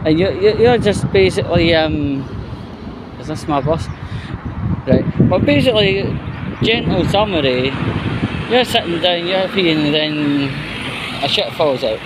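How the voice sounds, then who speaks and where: A man's voice: fairly high pitch, animated, a little slow, long pauses. A man with a Glasgow-area Scottish accent chatting off the cuff in a casual outdoor vlog, with blunt, sweary grumbling. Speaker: vlogger presenting a walking video. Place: outdoors by a roadside bus stop. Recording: outdoor street noise with passing traffic. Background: traffic and street ambience.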